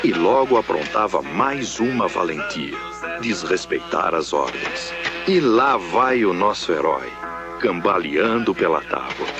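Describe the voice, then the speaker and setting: A man's voice: low-pitched, very animated, measured pace, over music. A man narrating an old film in a theatrical, mocking storybook style. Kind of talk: mock-heroic storybook narration.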